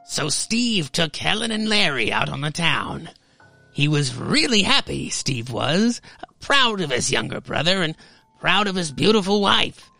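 A man's voice: a little high, sing-song, measured pace, gravelly, sharp tone, dry recording, soft background music. A man narrating a scripted story dramatically, as the host of a story podcast. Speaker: podcast host reading stories.

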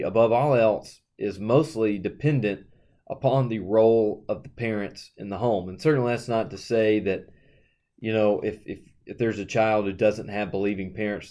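A man with a fairly low voice discusses scripture in a relaxed podcast conversation. He is earnest and explanatory, drawing lessons for parents from the text and circling back to restate his points. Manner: earnest, explanatory, with frequent "you know" and restarts. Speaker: youth minister, co-host of a Bible study podcast. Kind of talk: conversational Bible study discussion.

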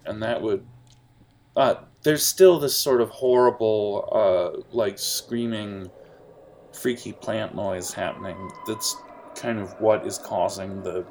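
Young man, game master, gravelly low voice, relaxed halting narration, faint hiss behind. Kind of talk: running a tabletop game.

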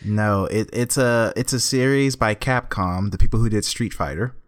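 A man's voice: fairly low pitch, normal speed. A man guesting on a casual podcast, talking games in a rambling, stop-start way.

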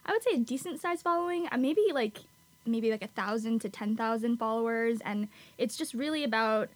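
A woman's voice: fairly high pitch, fairly quick. A young woman from Los Angeles in her twenties, a graduate student guest answering thoughtfully with some "um"s. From Los Angeles, USA. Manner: thoughtful, hesitant with fillers. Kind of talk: interview answers.

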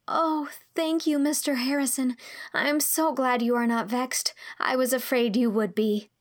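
A woman's voice: high voice, steady tone, a little slow, voice pushed up. A woman voicing an earnest, impulsive sixteen-year-old girl in an audiobook, speaking politely and confessionally. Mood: relieved. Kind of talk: audiobook character dialogue.

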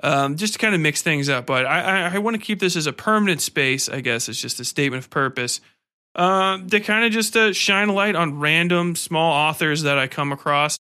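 A man's voice: on the high side, expressive, fairly quick, bright, forceful tone, clean tone. A man hosting a podcast in a casual, informal, slangy way. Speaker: podcast host interviewing an author.

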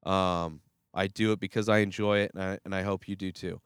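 A man's voice: deep voice, level tone, fairly quick, smooth, no echo, clean studio sound. A man hosting his own movie review podcast, talking casually with a stuffy, nasal voice from a cold, rambling and swearing with lots of fillers and restarts.

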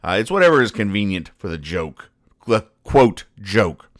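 A man hosting a podcast, reading out and explaining comic strips in a deadpan, mock-serious manner. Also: on the low side, normal speed, no room sound, studio quality. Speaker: podcast host.

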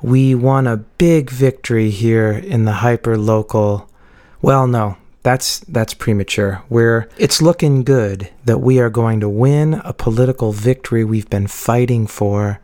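A man's voice: medium pitch, measured pace, a little mellow. A man hosting a podcast intro, casual and rambling, correcting himself as he goes, with his voice worn out from talking. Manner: rambling, self-correcting, chatty. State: losing his voice. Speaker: podcast host. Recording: music playing underneath.

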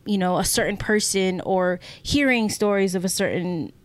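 A woman's voice: mid-range voice, moderate pace. A woman co-hosting a podcast, telling stories casually and reflectively, often checking in with the listener. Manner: reflective, often adds "you know" and "right?". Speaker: podcast co-host. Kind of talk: casual conversational storytelling.

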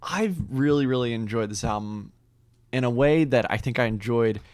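A man's voice: medium pitch, moderate pace, no echo. A man co-hosting a podcast, reviewing an album in casual chat and repeating words for emphasis.

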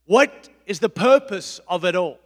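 A middle-aged man, a pastor, preaching a sermon in an emphatic, repetitive style with big swings in loudness. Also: high-pitched, expressive, unhurried, long reverb tail. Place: church.

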